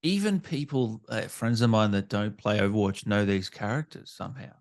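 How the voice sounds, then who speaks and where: A man's voice: on the low side, expressive, moderate pace. A man hosting a casual gaming interview, speaking conversationally as he asks questions and reacts. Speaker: interviewer, gaming channel host.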